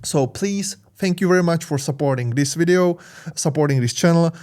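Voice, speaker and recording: A man with a Czech accent, not a native English speaker, hosting a tech tutorial in a halting way, full of fillers and restarts, and upbeat about what he shows. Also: fairly high pitch, a little mellow. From Czech Republic. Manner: enthusiastic. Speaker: tech channel host. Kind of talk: tutorial walkthrough, casual.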